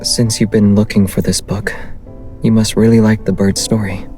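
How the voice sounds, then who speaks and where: Casting: male actor for soft-spoken young man in a romance game, lowish pitch, unhurried, gently teasing, music underneath. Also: flat delivery, lightly acted. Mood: calm, thoughtful. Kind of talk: intimate game voice acting.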